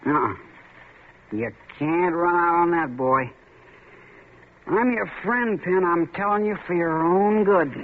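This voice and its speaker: A man's voice: high-pitched, takes it slowly, pauses often. An actor playing a taunting, swaggering young man in a 1940s radio drama, needling his friend as a coward. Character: taunting young male tough, Red. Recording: tinny lo-fi old radio broadcast with faint hiss.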